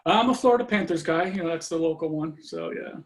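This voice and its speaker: Brief: man from Norway, fairly high voice with a gravelly edge, loose and rambling guest talk. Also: a little fast. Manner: relaxed, lots of fillers and trailing off. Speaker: interview guest. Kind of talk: casual conversation.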